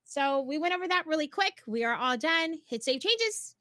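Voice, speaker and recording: A woman's voice: high-pitched, animated, moderate pace, sharp tone, dry recording, studio quality. A woman co-hosting a live stream tutorial, upbeat and affirming as she walks viewers through each step, her voice a little worn. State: slightly losing her voice. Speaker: co-host, platform guide.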